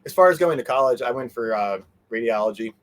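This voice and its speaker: A man giving a casual interview as a guest, animated and meandering, often trailing off mid-sentence. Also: mid-range voice, fast. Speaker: interview guest, fan convention organizer. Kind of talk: casual rambling interview chat.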